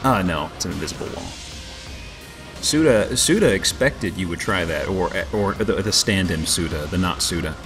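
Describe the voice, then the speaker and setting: Male gamer host, lowish voice, casual and dryly joking, clear game music underneath. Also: lively intonation, normal speed. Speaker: let's play host.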